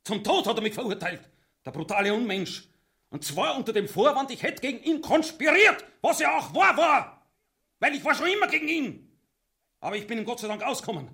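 An actor playing an aggrieved, boastful army captain in a grotesque radio comedy, plaintive and melodramatic in Viennese dialect. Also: male voice, very high-pitched, sing-song, brisk, sharp tone, full character voice. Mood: pleading, aggrieved.

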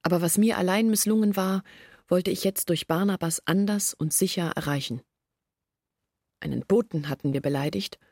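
An actress playing an earnest woman who confesses her family's failures in a reflective, measured monologue from a literary radio play. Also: lowish voice, a little mellow, breathy edge, no echo, studio quality. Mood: confessional, resolute.

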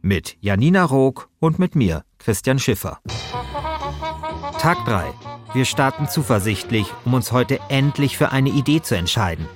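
A man's voice: mid-range voice, big pitch swings, unhurried. A man hosting a podcast, delivering scripted narration. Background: faint music.

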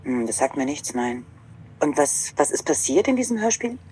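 An actress playing a calm, middle-aged radio play maker, speaking in a husky, measured, thoughtful way. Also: female voice, sits low, a little hiss or hum. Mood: puzzled. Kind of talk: interview conversation in audio drama.

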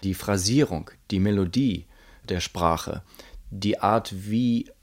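A man's voice: fairly low pitch, slow, a little mellow. A man giving a reflective interview statement as an artist, thoughtfully searching for his words. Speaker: musician and radio drama maker.

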